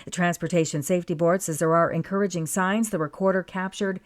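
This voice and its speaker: A woman with a Canadian accent reading a national newscast in a steady, measured way. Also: fairly low pitch. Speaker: newsreader. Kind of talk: scripted news reading.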